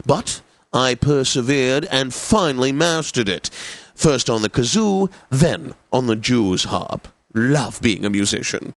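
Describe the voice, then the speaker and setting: A man's voice: medium pitch, dry recording. An actor playing a pompous, suave and lecherous male classical radio DJ in a comedic video game, announcing with theatrical relish. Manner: pompous, theatrical, savouring words.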